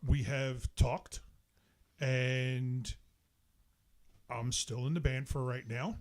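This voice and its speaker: A man guesting on a podcast, a bass player and singer, telling stories in casual chat with a slow, halting delivery full of restarts. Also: little pitch movement.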